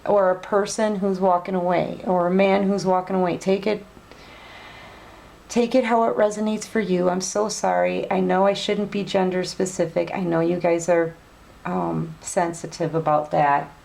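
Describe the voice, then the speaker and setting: A woman giving a casual online tarot reading, chatty and direct, gently scolding her listeners. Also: on the low side, flat delivery, normal speed, vocal fry, a little hiss or hum. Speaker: tarot reader.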